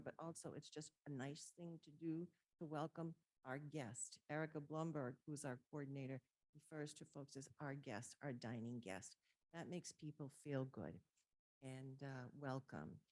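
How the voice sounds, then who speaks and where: A soft-spoken woman walks a council committee through her department's services. Her voice sits low and mellow, and the room sound is dry and close. She talks steadily, with plenty of ums and small restarts. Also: no echo, low-quality recording. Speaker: council on aging director presenting budget. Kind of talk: public meeting presentation. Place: city council chamber. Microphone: table microphone.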